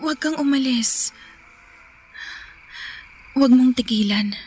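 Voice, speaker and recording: A woman's voice: high voice, loud music behind the voice. An actress playing a conflicted young single woman in a forbidden romance, speaking hesitantly in Filipino radio drama style. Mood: torn, yielding. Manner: hesitant, halting, guilty.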